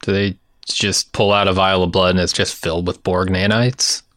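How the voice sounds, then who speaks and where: A man's voice: sits low, level tone, normal speed, a bit bright, no room sound, clean studio sound. A man co-hosting a podcast in casual chat, with a wry, laconic manner. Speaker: podcast co-host.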